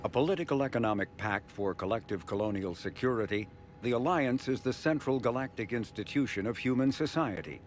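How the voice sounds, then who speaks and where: A man reading scripted encyclopedic narration in a formal, measured, informative manner. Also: medium pitch, slight background noise. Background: faint music.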